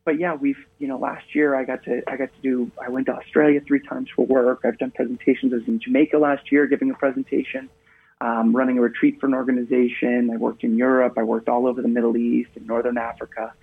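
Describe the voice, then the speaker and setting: Gravelly man on a tinny phone line. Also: medium pitch, flat delivery, fast talker. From Maine, USA. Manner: chatty storyteller, upbeat, leans on "actually". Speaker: guest, international speaker. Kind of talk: casual interview conversation.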